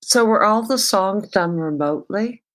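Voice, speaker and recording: A woman's voice: mid-range voice, lively intonation, moderate pace, fairly bright tone. A woman hosting a podcast, asking questions in an interview chat.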